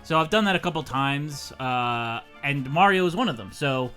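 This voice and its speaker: Guy co-hosting a game stream, punchy bright voice bit high, casual stories, soft music under. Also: lively intonation, moderate pace. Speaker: livestream co-host. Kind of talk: casual chat and anecdotes over gameplay.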